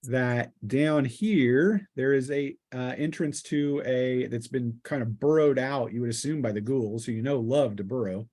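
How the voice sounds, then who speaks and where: A man with a medium-pitched voice runs a tabletop role-playing game. He improvises his narration as game master, feeling his way through descriptions with halting, thinking-aloud delivery. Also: warm tone.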